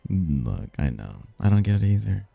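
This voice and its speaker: A man playing a smooth-talking demon prince in an audio roleplay, teasing and smug, with a low, husky, gravelly delivery. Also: dark tone, no echo, heavily acted. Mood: smug, sultry. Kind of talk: audio roleplay, ASMR-style voice acting.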